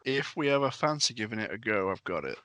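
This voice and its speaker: Man, lowish pitch, bright sharp tone, dry sound, casual podcast talk. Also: very animated, quick pace.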